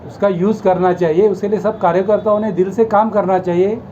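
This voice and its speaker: A man with an Indian accent, a party worker giving a measured, deferential interview answer with pauses. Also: high voice, steady tone, warm tone. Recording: dull, noisy location recording.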